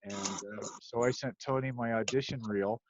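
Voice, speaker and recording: A man who does voice acting, telling casual stories in a halting, repetitive way on a group video call. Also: fairly low pitch, fairly even intonation, slight background noise. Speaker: volunteer audio drama voice actor. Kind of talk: casual anecdote on a group live stream. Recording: dull lo-fi call audio.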